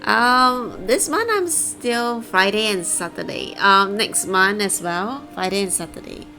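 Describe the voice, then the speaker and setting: A woman's voice: mid-range voice, animated, unhurried, sharp tone, soft background music, poor audio. A woman chatting casually as she live-streams a game, close to her microphone.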